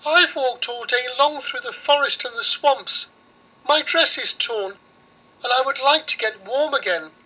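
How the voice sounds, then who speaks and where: A man's voice: very high-pitched, a little slow, sharp tone, voice pushed up, heavily acted, poor audio. A man voicing an innocent, fussy young girl in a storybook, with an insistent childlike manner. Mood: polite. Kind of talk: storybook character voice.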